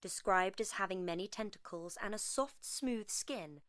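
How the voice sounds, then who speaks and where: A woman's voice: mid-range voice, normal speed, fairly bright tone, no room sound, clean studio sound. A woman reading a scripted narration about creature lore.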